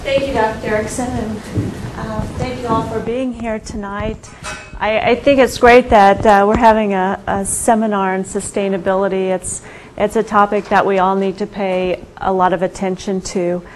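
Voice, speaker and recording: A soft-spoken woman giving an informal guest lecture, hesitant, with many fillers and restarts. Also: mid-range voice, level tone, normal speed, a little hiss or hum, rough recording. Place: university lecture room.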